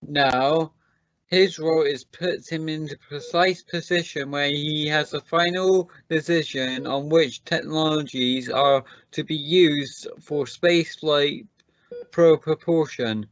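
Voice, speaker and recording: A man playing a defensive, accusing scientist in an amateur radio drama, speaking in a halting, formal and deliberate way. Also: a little high, fairly even intonation, dry recording, dull audio. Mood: earnest, suspicious. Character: defensive, accusing male scientist on a space mission. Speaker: amateur radio play actor.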